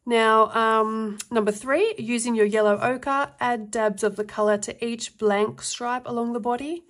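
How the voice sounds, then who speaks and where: A woman giving a casual flip-through review of an art workbook, reading passages aloud and adding her own notes with relaxed fillers. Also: a little high, a little slow.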